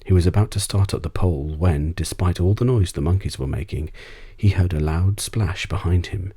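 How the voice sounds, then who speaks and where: Very deep, husky man reading bedtime stories. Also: normal speed, dark tone, dry recording, clean studio sound. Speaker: audiobook narrator. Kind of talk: scripted bedtime story narration, ASMR.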